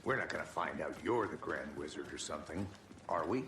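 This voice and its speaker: An actor playing a gruff, world-weary male federal agent with a deadpan, wry manner in a video game. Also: a bass voice, brisk. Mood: sardonic. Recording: echoey room with clear background noise.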